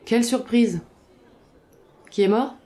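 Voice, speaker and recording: A woman acting in an audio drama as a cynical, sharp-tongued former police worker, blunt and slangy. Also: on the high side, slow. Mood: cynical, irritated.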